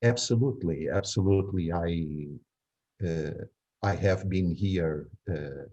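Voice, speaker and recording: A man in his sixties from Angola, a native Portuguese speaker with a Portuguese accent, recounts his life conversationally in a hesitant, measured way, searching for words. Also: sits low, warm tone, highs cut off. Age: 60s. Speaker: interviewee. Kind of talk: reflective conversational storytelling.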